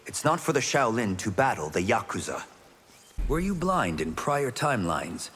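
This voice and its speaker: An actor playing an earnest young Shaolin monk fighter in a video game, speaking sincerely and politely. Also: male voice, mid-range voice, very animated, moderate pace, a bit bright. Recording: long hall reverb, faint noise.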